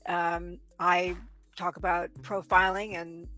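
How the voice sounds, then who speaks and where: Woman professor, lowish voice, explains plainly, faint music behind. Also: level tone, slow. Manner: clear, explanatory, matter-of-fact. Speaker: forensic psychology professor. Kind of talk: interview answers.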